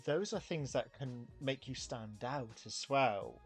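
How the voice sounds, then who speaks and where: British man, mid-pitched, rambling thoughtfully. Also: animated, slow, faint music behind. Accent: British, neutral standard with a regional twang. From Britain. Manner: thoughtful, rambling, says he can sound nasal. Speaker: podcast host. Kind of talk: casual chat. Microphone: desk microphone.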